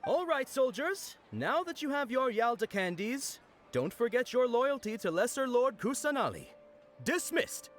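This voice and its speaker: An actor playing a jolly, theatrical festival knight in a video game, showy and hearty with children. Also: male voice, very high voice, sing-song, unhurried, fairly bright tone, a little hiss or hum, full character voice. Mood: commanding. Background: game music.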